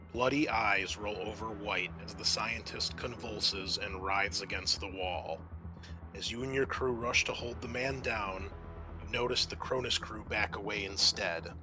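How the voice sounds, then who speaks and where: A man acting as game master, narrating a horror tabletop roleplaying game. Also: fairly low pitch.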